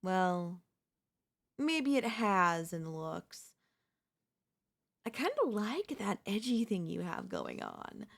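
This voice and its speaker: A woman's voice: mid-range voice, wildly swooping pitch, gravelly, heavily acted. Possessive girl-next-door bully played by a female performer, ASMR roleplay, coy and smug, lingering pace. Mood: smug teasing. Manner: smug, coy, drawn-out teasing. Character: teasing, possessive young woman, former childhood bully next door.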